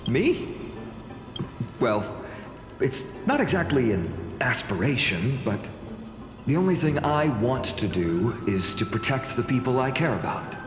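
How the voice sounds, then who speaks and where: Casting: sincere young game hero, mild and hesitant, measured pace, reverberant with music behind. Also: male voice, mid-range voice, big pitch swings. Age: young adult. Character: mild, sincere young male student with cheek. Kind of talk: dramatic video game voice acting.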